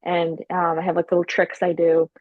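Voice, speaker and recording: A woman with a stutter chats loosely on a podcast, repeating sounds and restarting words. Her voice sits low and comes through a dry, slightly dull line. She is frank and swears without fuss. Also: completely flat delivery, moderate pace, no room sound. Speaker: podcast guest, stand-up comedian. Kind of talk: casual chat.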